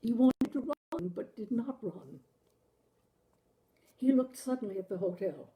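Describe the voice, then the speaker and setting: Woman reading a story out loud, really mellow warm voice, takes her time, kinda dramatic. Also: on the high side, animated, a little slow, slight background noise, low-quality recording. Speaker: story reader, show host. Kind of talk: literary audiobook-style story narration.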